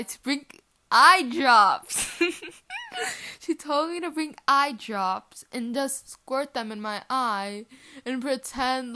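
A woman's voice: a little high, bright, forceful tone, smooth. A teenage girl whose first language is Portuguese, hosting her podcast with rambling, giggly casual chat full of fillers. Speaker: podcast host.

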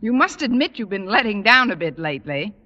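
An actress playing a witty, wisecracking high school teacher with a dry delivery, in a 1940s radio sitcom. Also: female voice, sing-song, fairly quick, sharp tone, dull audio. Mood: wry. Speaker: lead and narrator. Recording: noisy old radio broadcast.

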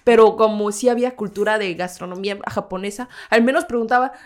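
Korean-born woman chatting, quick to laugh. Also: medium pitch. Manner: thoughtful, laughs often, restarts words. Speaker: guest. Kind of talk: casual podcast conversation.

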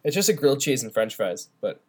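Fast-talking young man with a stuffy nose. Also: medium pitch, very animated. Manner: playful, teasing banter. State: stuffy nose and sore throat. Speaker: podcast co-host. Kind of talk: casual chat. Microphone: phone.

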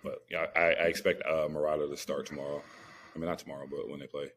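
A man's voice: extremely low pitch, little pitch movement, fast, a bit bright. A man co-hosting a podcast, chatting casually about sports in slangy speech with emphatic repetition.

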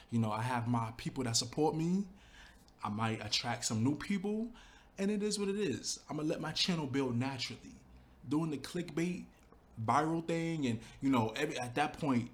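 A young adult man, a YouTuber, talking casually and earnestly about building his channel, with lots of "you know" and quoted thoughts. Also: mid-range voice. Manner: earnest, animated storytelling with fillers like "you know". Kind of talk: casual reflective monologue.

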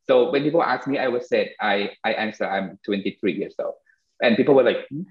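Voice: medium pitch, fairly quick. A podcast host in their early thirties chats casually in non-fluent English, with a blunt, joking manner. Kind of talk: casual chat. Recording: echoey room, dull lo-fi audio.

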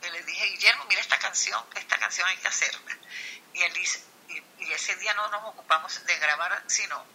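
A woman singer from Venezuela tells a story in a relaxed, rambling way, stumbling over her words and restarting often. Her voice is very bright with a husky grain. The sound has the thin quality of an online call. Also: lowish voice, a little fast, rough recording. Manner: rambling, many restarts and fillers. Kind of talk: casual storytelling in an online chat.

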